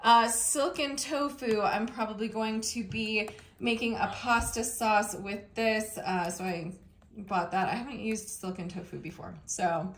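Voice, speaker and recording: A woman's voice: medium pitch, fairly even intonation, a little slow. Young woman, casual vlogger chat, chatty and upbeat with a touch of faint room noise. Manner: chatty, upbeat, with fillers and little laughs. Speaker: vlogger showing a grocery haul. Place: indoors at a kitchen table.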